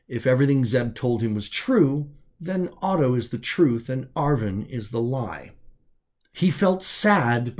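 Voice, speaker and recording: A man's voice: mid-range voice, darkish tone. A man reading science fiction as scripted audiobook narration, telling the story as its author.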